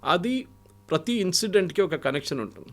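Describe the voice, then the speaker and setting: A man's voice: high-pitched, expressive, fairly quick, creaky, a bit bright. A man with an Indian accent giving political analysis and anecdotes in an interview, switching between Telugu and English phrases. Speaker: guest commentator and analyst.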